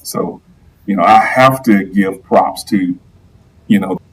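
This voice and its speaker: A man from Georgia with a deep baritone voice, telling his story as a guest in a casual interview with clear announcer diction. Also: slow pace, distant mic, a little hiss or hum, low-quality recording. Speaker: guest, live streamer and football PA announcer.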